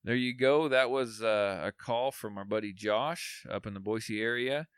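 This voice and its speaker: A man co-hosting a casual podcast, tired, with a voice going a bit raspy, rambling with lots of fillers. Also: lowish voice, a little slow, fairly bright tone, no room sound. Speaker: podcast co-host.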